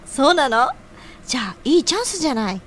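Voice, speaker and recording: Over light background noise, a woman acts out a young office senior in a radio drama. She is playful and nosy, her high voice swinging freely as she teases. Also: sing-song, quick pace. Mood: curious, flustered. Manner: feminine sentence endings, playful. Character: teasing, nosy, cheerful young female senior colleague. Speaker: voice actor in an audio drama. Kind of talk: audio drama dialogue.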